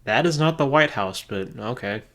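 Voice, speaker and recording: A man's voice: mid-range voice, animated, moderate pace, fairly bright tone. A man reading scripted commentary as a video reviewer, with a wry, sarcastic manner. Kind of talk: scripted commentary over show clips.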